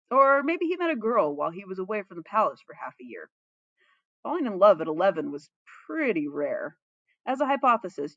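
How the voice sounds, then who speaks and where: A woman narrating a story in an expressive, playful, informal way, like an amateur reading fan fiction. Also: mid-range voice, no room sound, dull audio. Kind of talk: storybook narration with casual asides.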